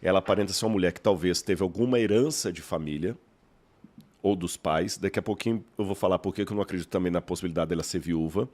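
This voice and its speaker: A man from Minas Gerais with a mineiro accent, explaining as a guest in a measured, lecturing way and posing rhetorical questions. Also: sits low, darkish tone. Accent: Mineiro Brazilian Portuguese. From Minas Gerais, Brazil. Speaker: guest scholar. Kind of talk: explanatory podcast interview.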